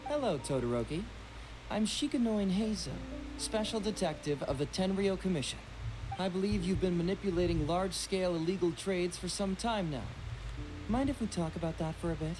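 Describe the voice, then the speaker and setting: A woman's voice: on the low side, expressive, fairly quick, music in the background, close to an everyday voice, poor audio. A woman voicing a young male detective in a video game, confident, composed and teasing. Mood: cool, probing.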